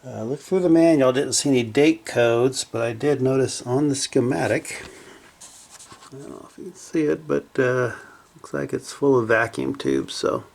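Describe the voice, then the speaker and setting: A man giving casual, unscripted narration as a hobbyist repairer at his workbench, low-key and hesitant as he thinks aloud. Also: normal speed, vocal fry, darkish tone, a little hiss or hum. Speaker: hobbyist electronics repairer presenting his project. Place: small indoor home workshop at a bench.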